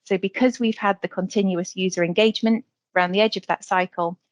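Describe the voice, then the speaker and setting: A woman presenting slides on a webinar video call, talking quickly with some fillers. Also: medium pitch, steady tone, no echo. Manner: quick, slightly hurried delivery with fillers.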